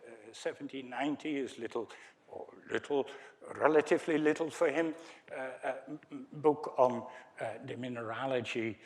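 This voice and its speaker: A man's voice: medium pitch, moderate pace. A man with a Dutch accent giving a conference lecture, hesitant and full of fillers, with wry asides to the audience. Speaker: academic speaker.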